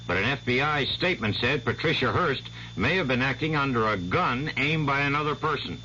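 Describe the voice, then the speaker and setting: A man reading the hourly network news as newscaster in a measured, authoritative way. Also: medium pitch, lively intonation, bright, forceful tone. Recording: muffled old radio broadcast with faint hiss.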